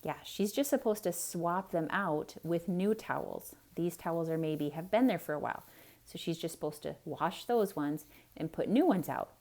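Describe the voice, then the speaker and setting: A woman storyteller reading aloud theatrically for children, pausing to explain things simply and ask questions. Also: fairly low pitch, a little mellow. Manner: explains simply, chatty asides. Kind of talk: theatrical read-aloud, asking young listeners questions.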